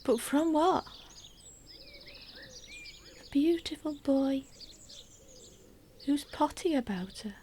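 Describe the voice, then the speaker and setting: A woman's voice: high-pitched, expressive, takes it slowly, pauses often, dark tone, slight background noise. Confiding, wry middle-aged mother. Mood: startled, protective. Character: middle-aged English mother, romantic, wry, privately yearning. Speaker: actress in a radio play monologue. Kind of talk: intimate confessional radio drama monologue.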